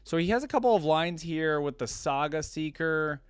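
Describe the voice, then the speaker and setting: A man's voice: fairly high pitch, expressive, moderate pace, clear, resonant tone, dry recording. A man hosting a reaction video, commenting casually on game voice lines and musing aloud with frequent questions.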